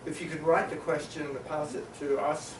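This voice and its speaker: A man with a slightly high voice moderates a panel discussion, pausing often and filling gaps with hesitant sounds. He is from New Zealand. His voice carries through a reverberant conference hall, picked up from some way off the microphone, with a steady background hiss. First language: Maori. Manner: halting, many filler sounds and restarts, summarizing. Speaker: panel facilitator. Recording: echoey hall, mic at a distance.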